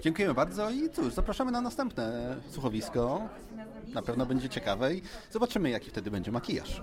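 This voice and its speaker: With a busy crowd behind him, a male podcast host with a medium-pitched voice chats casually. He is playful and often repeats his words as he interviews. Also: very animated, brisk.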